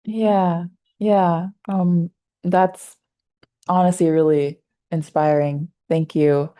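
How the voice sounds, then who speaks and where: Low-pitched female interviewer, relaxed, chatty, full of fillers. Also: slow pace. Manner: laughs easily, speaks with many fillers. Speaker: interview host. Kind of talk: casual interview conversation.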